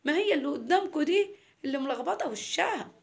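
A woman playing an exasperated mother in a story, by turns scolding and cajoling in a brisk way. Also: sits high, lively intonation, creaky, voice pushed up. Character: exasperated scolding mother, coaxing her daughter.

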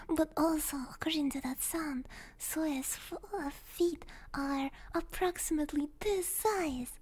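A young woman hosting an ASMR stream, chatting playfully in a soft, breathy, girlish voice that often slips into a whisper, close on a binaural microphone. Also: high-pitched, a little slow. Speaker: ASMR livestream host. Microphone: binaural ear microphone.

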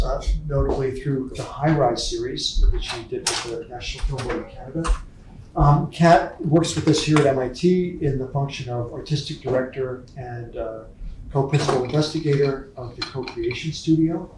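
Man, professor, casual intro, distant, hissy roomy hall. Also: medium pitch. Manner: casual, self-correcting. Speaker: professor opening the event. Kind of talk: event introduction. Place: university lecture hall. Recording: echoey hall from far off with hiss.

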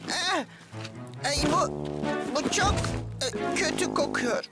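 A woman's voice: sits high, expressive, takes it slowly, over loud music. A woman voicing a fussy, squeamish young girl in a cartoon dub. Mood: disgusted.

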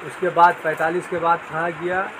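High-voiced man with street noise. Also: level tone, moderate pace. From India. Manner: repetitive, explains sizes and strengths plainly. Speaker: fishing tackle shop owner. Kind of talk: casual product demonstration.

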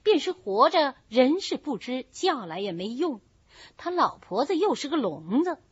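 A woman's voice: sits high, very animated, measured pace, breathy edge, no echo, pitched above the performer's own voice, exaggerated voice. A woman voicing a cautious young nobleman in a storybook reading, explaining carefully. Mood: worried. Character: anxious young nobleman, dutiful son. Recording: dull lo-fi recording.

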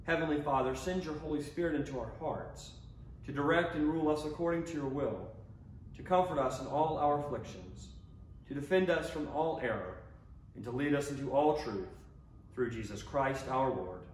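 A man officiating a church service, reading prayers and psalms in a measured, solemn way. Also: fairly high pitch. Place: inside a church sanctuary by the altar. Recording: roomy, distant mic with faint noise.

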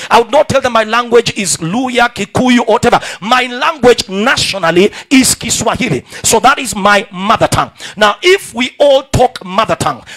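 A young Kenyan man preaching a sermon in a large church hall on a handheld mic, calling out to the congregation and rising into shouts. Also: high voice. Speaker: pastor. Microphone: handheld microphone.

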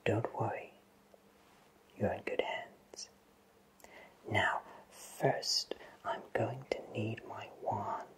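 A man playing a friendly, absent-minded wizard who runs a potion shop, speaking in a soft breathy whisper for an ASMR roleplay, gently and reassuringly. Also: lowish voice, fairly even intonation. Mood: soothing, sympathetic. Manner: slow, gentle, hesitant, reassuring.

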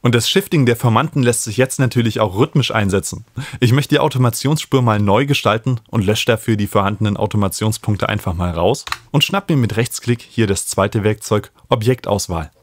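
A man, explaining music software as an instructor in a tutorial. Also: lowish voice, brisk, no room sound. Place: small home studio, indoors. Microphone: studio microphone up close.

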